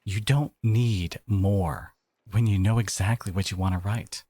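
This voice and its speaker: A man acting a devoted, protective boyfriend in an audio roleplay, speaking in an earnest, halting, conversational way with an edge of sarcasm. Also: fairly low pitch, fairly even intonation, mellow, no room sound. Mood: defensive, irritated. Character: devoted, protective boyfriend confronting his partner's family, adult man.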